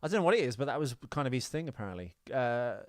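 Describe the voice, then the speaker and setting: A man's voice: medium pitch, big pitch swings, quick pace, dry recording, clean studio sound. A man with an English accent chatting casually as a podcast co-host, in a rambling, blunt way. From England. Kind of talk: casual film trivia chat.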